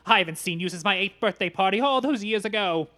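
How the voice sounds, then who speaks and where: A man's voice: sits high, fast, sharp tone. A man voicing a jittery teen villain. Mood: frantic. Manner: jittery, stammering, melodramatic. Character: nervous overmedicated teen boy turned villain.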